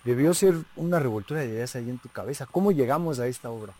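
A man's voice: medium pitch, lively intonation, fast talker, mellow. A man with a Mexican accent presenting and interviewing as a radio host. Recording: faint music behind.

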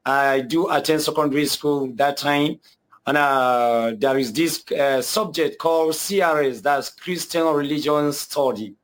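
Earnest Nigerian man, slightly high voice. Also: a little slow. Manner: earnest, repeats himself, many hesitations. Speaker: guest telling his conversion testimony. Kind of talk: personal testimony.

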